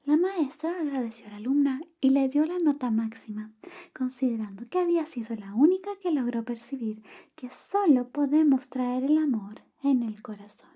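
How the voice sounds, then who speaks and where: A young woman gently reading a bedtime story in a soft, whispered ASMR style. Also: sits high, lively intonation, normal speed, husky.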